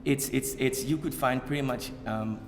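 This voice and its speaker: A man from Bangladesh talks to an audience in a loose, unscripted way. He stops and restarts often and leans on fillers. His voice sits at a middle pitch and carries a long hall reverb. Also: steady tone, over music. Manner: halting, many fillers and repeated starts. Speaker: community speaker. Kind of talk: informal public talk to an audience. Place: event hall.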